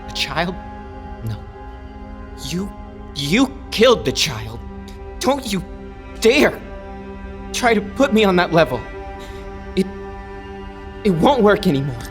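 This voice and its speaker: Haunted tormented young guy, stammering, higher voice, soft music behind. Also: sing-song, exaggerated voice. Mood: angry. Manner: halting, pleading, bursts of anger. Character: anguished young man haunted by power. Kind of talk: audio drama acting.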